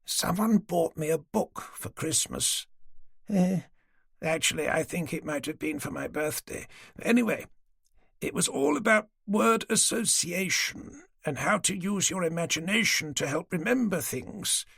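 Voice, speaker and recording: A frail, forgetful old woman, put on by a male audiobook narrator chatters in a genteel, rambling way. Her voice lifts and dips a lot and carries a gravelly edge. The sound is dry and close. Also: lowish voice, animated, unhurried, no echo, pitched above the performer's own voice, exaggerated voice. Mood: chatty. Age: older. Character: frail, forgetful elderly woman, retired nurse. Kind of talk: audiobook character voice.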